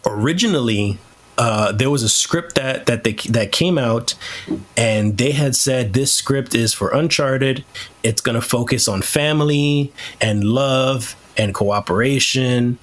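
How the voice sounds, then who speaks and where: A man's voice: mid-range voice, measured pace. A man speaking as a podcast host in casual, opinionated conversation, swearing freely. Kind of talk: casual chat.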